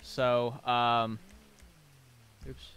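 A man's voice: medium pitch, level tone, extremely slow, a bit bright, clear, resonant tone, no room sound. A man streaming a role-play game, chatting casually and muttering and swearing to himself as he plans. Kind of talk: casual gaming stream chat.

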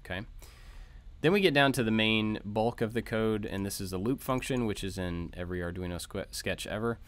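Low-pitched man explaining code informally. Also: a little fast, no room sound. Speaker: host of a maker tutorial channel. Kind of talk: informal code walkthrough narration. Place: voice-over for a screen recording.

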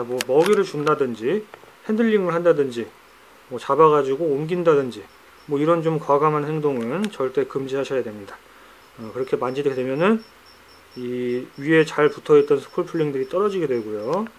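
A middle-aged man with a hoarse, cracking voice, talking casually in a how-to vlog about his pets, recorded on a handheld phone indoors. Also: a little high, lively intonation, a little fast. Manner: chatty, teasing, drops into banter. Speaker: pet keeper vlogger. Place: indoors among pet enclosures.

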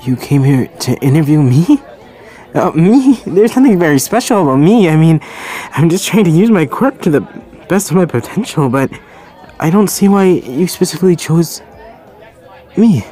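A man playing a shy, kind teenage hero-school boy in an anime ASMR roleplay, speaking haltingly and apologetically, with stammers. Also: fairly high pitch, lively intonation, warm tone, some chatter behind, voice pushed up, natural voice. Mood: flustered, friendly.

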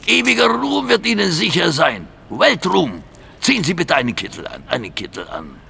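An actor playing a grandiose, obsessive mad surgeon in an audio drama, halting and theatrical, menacing and fond of repeating his own phrases. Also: on the high side, creaky, sharp tone, a little hiss or hum, heavily acted. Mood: excited, insistent. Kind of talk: German audio drama acting.

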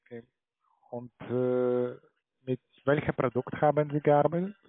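A man with a foreign accent speaking non-native German as a call centre salesman, pitching haltingly and tagging sentences with "ja". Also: fairly even intonation, no room sound. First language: not German. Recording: tinny narrow phone line.

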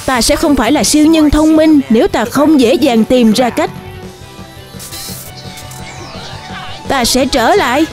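A woman's voice: sits high, moderate pace, darkish tone, soft background music, close to an everyday voice. A woman voicing a vain, boastful young male cartoon superhero with a self-important, dramatic delivery. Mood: confident. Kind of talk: dubbed cartoon acting.

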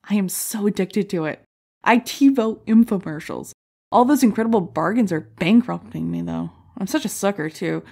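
Casting: woman as brash stand-up comedienne for audio drama, medium pitch, self-mocking, punchline-driven. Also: a little mellow. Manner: jokey, rhetorical, punchline-driven delivery. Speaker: comedy contest contestant.

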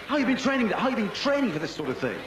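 A man giving excited, projecting, showman-like commentary as presenter of an outdoor game show. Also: high voice, rapid-fire. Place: outdoor park event. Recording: echoey outdoor PA, loud cheering crowd noise.

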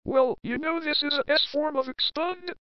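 A woman's voice: high-pitched, normal speed, no room sound, highs cut off. A woman voicing characters in a fan comic dub, earnest and explaining.

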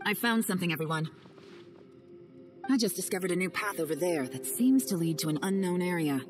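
A composed, secretive spy, voiced by a woman, speaking in cool, clipped lines in a game cutscene. Her voice sits a little low. Faint music plays behind her. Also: expressive, a little fast, a little mellow, close to an everyday voice. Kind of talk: video game cutscene voice acting.

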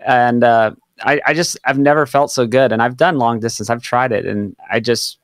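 A man's voice: mid-range voice, steady tone, moderate pace, clear, resonant tone, dry recording. A man in his thirties from Hawaii, hosting a podcast from a home studio and talking eagerly with many repeated words and restarts. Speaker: podcast host. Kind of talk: casual interview chat. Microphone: studio mic on boom.

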